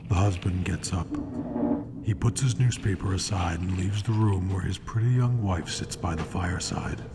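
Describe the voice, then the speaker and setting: Casting: male actor as wry, ominous host of a radio murder anthology, deep and creaky, theatrical pacing. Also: background noise. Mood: hushed, suspenseful. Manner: slow dramatic pauses, ominous, wry. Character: ominous, sardonic male narrator of true-crime murder tales. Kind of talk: old-time radio mystery narration.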